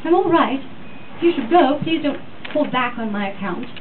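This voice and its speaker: A woman voicing a gentle, anxious young Victorian wife from a gothic novel, earnest, polite and questioning. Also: high-pitched, expressive, normal speed, narrow, tinny audio, pitched above the performer's own voice. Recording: distant mic, thin sound, noisy room.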